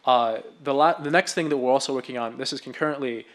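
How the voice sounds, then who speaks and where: A man presenting his research at a medical symposium, talking quickly and with enthusiasm, often restarting his phrases. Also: medium pitch. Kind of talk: conference talk.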